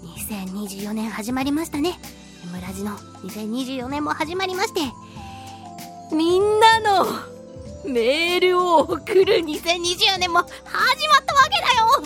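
A woman hosting a solo radio talk show, reading listener mail in a playful, giggly, animated manner. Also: very high voice, unhurried, music in the background. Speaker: radio host, voice actress.

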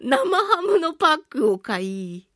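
A woman's high voice with very lively, swooping intonation, chatting casually as a radio host. She spins a personal anecdote playfully and poking fun at herself, in a close, dry recording. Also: a little slow, no room sound. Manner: animated, chatty storyteller with playful self-mockery. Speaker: host of a talk radio show, voice actress.

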